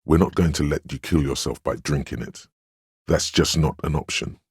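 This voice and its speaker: A man playing the inner voice of willpower in a game, a stoic, protective conscience who speaks in a measured, firm and reassuring way with a deep gravelly husk. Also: darkish tone, no echo, clean studio sound, exaggerated voice. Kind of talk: dramatic game voice acting.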